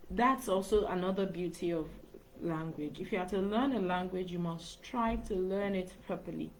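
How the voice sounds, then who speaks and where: A woman's voice: fairly low pitch, moderate pace, a little hiss or hum, low-quality recording. A woman, a speaking coach talking live into her laptop microphone in an earnest way and repeating phrases for emphasis. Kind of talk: live-stream monologue.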